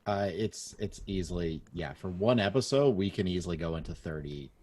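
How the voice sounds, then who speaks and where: Low, husky-voiced man podcaster. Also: animated, fairly quick, a little mellow. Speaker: podcaster and audio editor. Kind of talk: casual panel chat.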